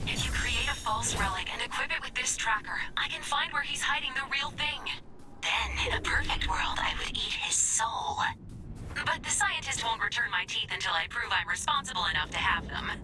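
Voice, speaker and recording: A woman's voice: a little high, animated, normal speed, far from the mic, natural voice. A woman voicing an intense, devoted young female robot assistant in a video game, childlike and melodramatic, turning from pleading to menacing. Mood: scheming, menacing. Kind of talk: video game character voice acting. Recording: loud music behind the voice.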